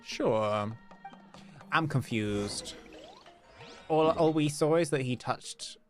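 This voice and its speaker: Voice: mid-range voice, slow, soft background music. A game streamer giving casual, offhand commentary while playing, musing aloud.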